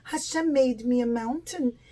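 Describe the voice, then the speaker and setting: A woman voicing a small, humble male mountain in a puppet show, modest, meek and soft-spoken. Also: high-pitched, unhurried. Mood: meek, grateful.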